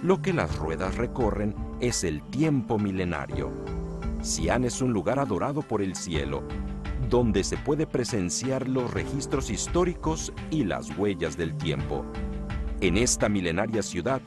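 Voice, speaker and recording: A man's voice: fairly low pitch, big pitch swings. Polished Mexican broadcaster man. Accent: Mexican Spanish. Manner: measured, polished broadcaster delivery. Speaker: television news presenter. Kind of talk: travel documentary presenting and narration. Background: music.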